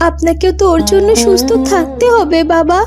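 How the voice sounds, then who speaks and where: A devoted young cartoon princess, voiced by a woman, speaks in a very high voice. She is soothing and earnest, with music behind her. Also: brisk. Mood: reassuring. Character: devoted young royal daughter-in-law, caring and reassuring. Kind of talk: cartoon voice acting.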